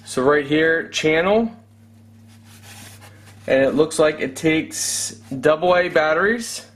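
A man in his thirties doing a casual product unboxing review, talking as a reviewer at home. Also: a little high. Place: room at home.

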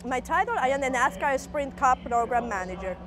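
A woman's voice: high voice, animated, brisk. A woman, a program manager explaining her work in an interview. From Latin America. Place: outdoors at a racetrack. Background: music.

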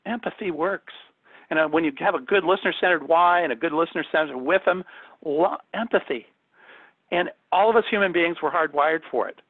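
A man's voice: fairly high pitch, brisk, breathy edge, dry recording. Man presenting over thin, phone-like audio. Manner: emphatic, instructive, addresses listeners with questions. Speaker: corporate communications trainer. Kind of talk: conversational presentation in an online workshop. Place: indoors, on a video call.

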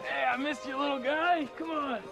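An actor playing an earnest, easygoing young reporter chatting with friends. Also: male voice, extremely high pitch, steady tone, moderate pace, gravelly, exaggerated voice. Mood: friendly. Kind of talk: TV drama acting. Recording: drama audio with music score.

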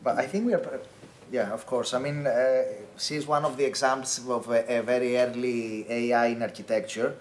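A man panelist talking quickly and animatedly, repeating words, at a table microphone in a large lecture hall. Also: medium pitch, steady tone. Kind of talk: panel discussion. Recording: echoey lecture hall, faint noise.

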